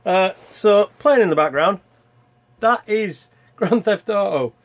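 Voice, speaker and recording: A man's voice: high voice, expressive, slow pace. A man hosting a game review with casual, rambling, self-deprecating commentary as he plays. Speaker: video game reviewer and channel host.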